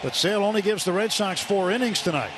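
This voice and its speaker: A male American baseball announcer with a slightly high voice calls the game live on radio. He takes his time between phrases, with faint ballpark noise behind him. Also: expressive, quick pace. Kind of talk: live sports commentary. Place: ballpark broadcast booth. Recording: live stadium broadcast, ambient noise.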